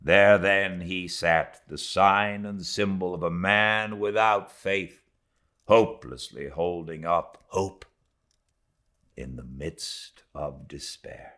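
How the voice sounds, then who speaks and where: A British man giving a dramatic reading of a literary audiobook, theatrical in delivery, moving between swelling intensity and hushed tones. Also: low voice, steady tone, slow pace. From Britain. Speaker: audiobook narrator.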